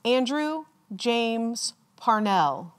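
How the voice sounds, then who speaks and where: A woman with a slightly high voice serves as a formal ceremony announcer. She reads names extremely slowly, enunciating each one deliberately and leaving measured pauses. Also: very animated. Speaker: commencement announcer reading graduates' names.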